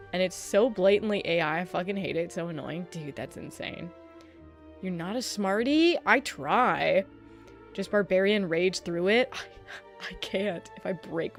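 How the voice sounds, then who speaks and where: A woman livestreaming in a casual way, chatting with her viewers, swearing often and laughing a lot, with a sleepy voice. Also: mid-range voice. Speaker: streamer. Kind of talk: casual livestream chat while setting up a 3D model. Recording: faint music underneath.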